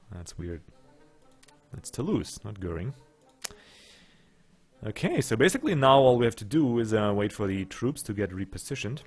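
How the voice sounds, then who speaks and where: Non-native english guy chatting thru a strategy game, kinda low voice, talks to his troops. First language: not English. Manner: thinking aloud, talks to his units, joking. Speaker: let's play host. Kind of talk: casual gameplay commentary.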